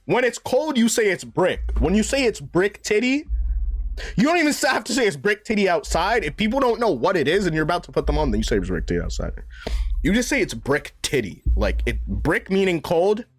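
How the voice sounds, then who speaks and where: A man's voice: sits high, sing-song, a little fast. A man of twenty-eight, chatting casually and a bit tipsy on a gaming live stream, rambling in slang and swearing often. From New York. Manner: rambling, slangy, profane, says "bro" constantly.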